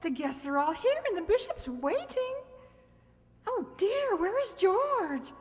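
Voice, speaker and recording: A woman's voice: very high-pitched, sing-song, slow pace, exaggerated voice. A woman playing a flustered society mother in a radio comedy, fussy and full of exclamations. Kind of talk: 1940s radio comedy acting. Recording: echoey hall stage recording.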